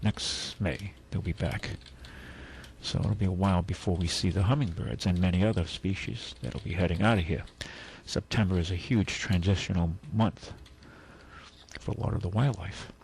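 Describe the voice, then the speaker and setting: A man's voice: sits low, fairly even intonation, moderate pace, a little mellow. A man hosting a talk radio show, chatting in a rambling, casual way with asides and restarts. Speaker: radio host.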